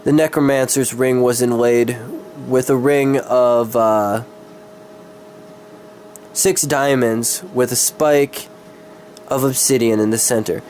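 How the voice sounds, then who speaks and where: A man's voice: mid-range voice, steady tone, slow, pauses often, darkish tone, slight background noise. A man who is sick gives a dramatic reading of fantasy as an amateur, tripping over his words and swearing in casual asides. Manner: stumbling, tongue-tied, sweary. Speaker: amateur reader of his own fantasy story.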